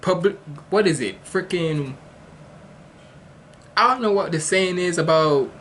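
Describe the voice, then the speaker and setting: A man's voice: high voice, lively intonation, measured pace, a bit bright, slight background noise. A man from Jamaica hosting a reaction video, talking casually and rambling with many restarts and slang.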